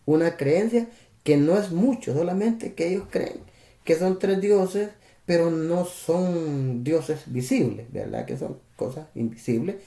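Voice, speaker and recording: A man's voice: on the high side, measured pace, vocal fry, dark tone, roomy sound. A man from El Salvador with a Salvadoran Spanish accent, a pastor preaching in a conversational way on a live stream, with rhetorical questions and asides to his listeners.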